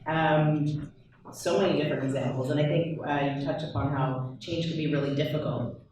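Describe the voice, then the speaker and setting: A woman on a conference panel giving a measured answer with examples and fillers. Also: deep voice, fairly even intonation, a little mellow, slight background noise. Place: large echoey event room, seated. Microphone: handheld mic. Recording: echoey room from far off.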